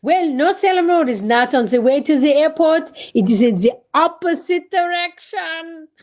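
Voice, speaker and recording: A woman's voice: sits high, animated, normal speed, a little mellow. A woman playing an assured, courteous male Belgian detective, formal and theatrical, in a radio drama. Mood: urgent, insistent. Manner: formal, theatrical, peppered with French exclamations. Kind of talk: old-time radio detective drama acting.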